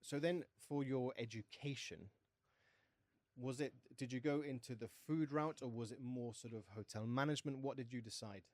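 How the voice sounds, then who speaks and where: Podcast host: man with an average-pitched voice, informal interviewer, recorded with very little room echo. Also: normal speed, a little mellow. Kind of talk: casual interview questioning.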